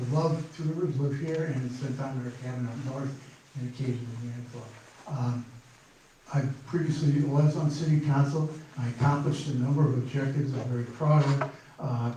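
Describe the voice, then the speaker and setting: An older retired man speaks steadily as a council candidate, running through his accomplishments. His voice is mellow and dark, set far from the mic. Heavy room echo surrounds it. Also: mid-range voice. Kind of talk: candidate forum introduction. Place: public forum room. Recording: echoey hall, distant mic, faint noise.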